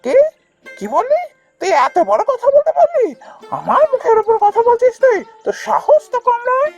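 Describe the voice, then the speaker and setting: Woman putting on a shrill, scolding miserly elder brother. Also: huge pitch swings, moderate pace, a little mellow, soft background music, exaggerated voice. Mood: furious, mocking. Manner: scolding, sneering, repetitive outbursts. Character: harsh, miserly, domineering elder brother, easily enraged. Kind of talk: Bengali fairy-tale cartoon voice acting.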